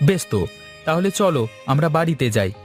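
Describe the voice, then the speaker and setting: Lively, kind young village lad, voiced by a man. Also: a little high, brisk, soft background music. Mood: curious, kind. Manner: polite, inquisitive, expressive. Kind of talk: Bengali moral-story cartoon dubbing.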